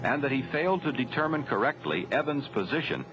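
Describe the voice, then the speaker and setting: An American man narrating a 1970s military training film from a script, formal, grave and measured. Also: mid-range voice, very animated, over music. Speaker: documentary narrator. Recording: dull 1970s film soundtrack, faint noise.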